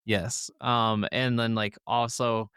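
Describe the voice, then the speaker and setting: A man's voice: mid-range voice, little pitch movement, slow pace, fairly bright tone, smooth, no room sound, clean studio sound. A man in his thirties co-hosting a podcast in casual conversation. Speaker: podcast co-host. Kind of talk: casual chat.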